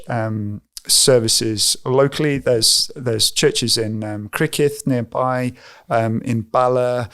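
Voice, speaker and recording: A man's voice: medium pitch, fairly bright tone. A man working as a pastor, answering interview questions thoughtfully and hesitantly, with plenty of fillers and restarts. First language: English. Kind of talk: conversational interview.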